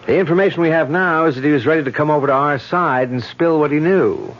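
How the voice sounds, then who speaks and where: A man's voice: a little high, fast, natural voice. Radio-play detective: man as a breezy, cocky cop, dull old recording. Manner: jokey, self-assured. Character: cocky male police detective. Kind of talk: 1970s radio drama acting.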